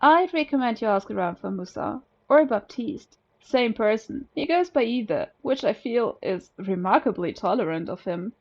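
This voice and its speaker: A woman's voice: a little high, animated, normal speed, hardly any pauses, dull audio. A woman voicing a friendly, chatty male bruiser of a prison inmate in a story, breezy and familiar.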